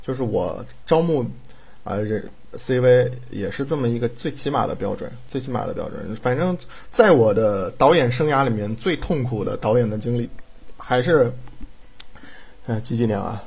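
Male director talking off the cuff, slightly rough medium voice, emphatic, meandering. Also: medium pitch, lively intonation, normal speed, darkish tone. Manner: rambling, repetitive, emphatic. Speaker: audio drama director. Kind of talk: casual chat.

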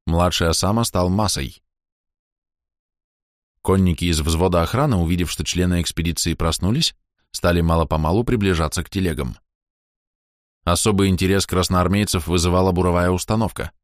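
Low-pitched man narrating an audiobook. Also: a little fast, long pauses, dry recording, studio quality. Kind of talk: scripted literary narration.

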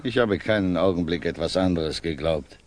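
An actor playing a blunt, suspicious revolutionary in a 1960s German radio drama, curt and accusing. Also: male voice, low voice, normal speed, lightly acted. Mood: hostile, accusing. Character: blunt, suspicious male revolutionary, openly hostile.

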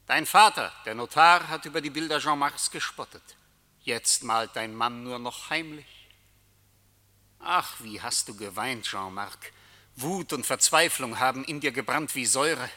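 An actor playing Death as a solemn, majestic coachman, declaiming deliberately in a radio play. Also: mid-range voice, pushed and bright. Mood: knowing, taunting. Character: Death personified, solemn, majestic male coachman come to collect souls. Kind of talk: German radio play acting. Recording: long reverberant hall echo.

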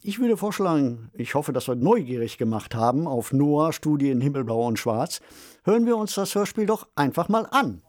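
A man's voice: fairly high pitch, big pitch swings, moderate pace, a little mellow. A man co-hosting a podcast in a conversational style, putting ideas to his partner. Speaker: podcast co-host.

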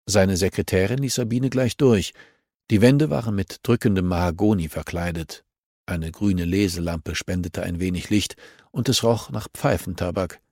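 A man with a fairly low voice narrates a crime novel. It is scripted audiobook reading, recorded close and dry. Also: darkish tone, dry recording.

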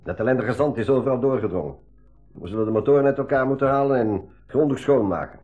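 Radio drama: Flemish expedition mechanic played by a man, practical, sober, worried, brisk rapid delivery. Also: mid-range voice, phone-quality sound, faint music behind, lightly acted. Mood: grave, worried. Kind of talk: 1980s school radio drama acting.

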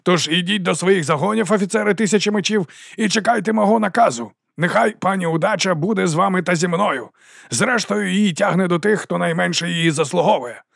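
Man acting a flamboyant, high-pitched mercenary captain, orating. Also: brisk, creaky, a bit bright, no echo, clean studio sound, full character voice. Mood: rousing, sly. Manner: theatrical, self-mocking, grandiose orator. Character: flamboyant, roguish old mercenary captain rallying his troops. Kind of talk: audiobook character acting.

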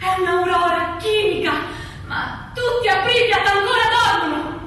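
A woman's voice: very high-pitched, moderate pace, sharp tone. A woman playing a young fireman's wife, devoted, desperate and stubborn, in an intense, insistent style of radio drama acting. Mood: haunted narrating. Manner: insistent, repetitive pleading. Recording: echoey room from far off, faint noise.

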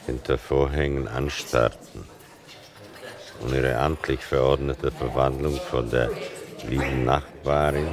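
Very deep, creaky-voiced male narrator. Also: fairly even intonation, crowd noise. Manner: measured, wry, deliberate. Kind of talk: literary scripted narration.

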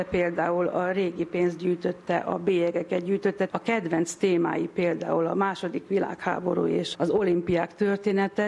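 A woman's voice: lowish voice, a little mellow, lo-fi sound. A middle-aged or older woman telling a personal story in her own spontaneous words as an interviewee. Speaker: interviewee, relative of a patient.

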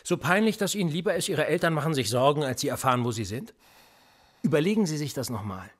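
An actor playing a menacing police interrogator in a radio play, needling and mocking with a husky voice. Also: male voice, on the high side, very animated, fast talker. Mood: insinuating. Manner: needling, insinuating questions. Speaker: police officer.

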